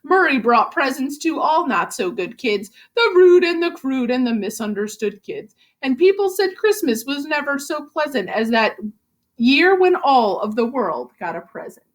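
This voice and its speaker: A woman reading a rhyming storybook aloud to children as a teacher, with an expressive, rhythmic delivery. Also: sits high, moderate pace. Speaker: elementary school reading teacher.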